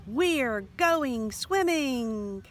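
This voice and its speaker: A woman's voice: sits high, animated, extremely slow, a little hiss or hum, voice pushed up, full character voice. A woman voicing a daring, bossy girl chicken in a children's storybook, pushy and emphatic. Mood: pushy, excited.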